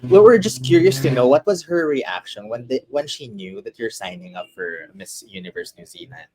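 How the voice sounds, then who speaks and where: A man's voice: mid-range voice, expressive, normal speed, mellow, poor audio. A male interviewer asking questions hesitantly, with many fillers, mixing Filipino and English.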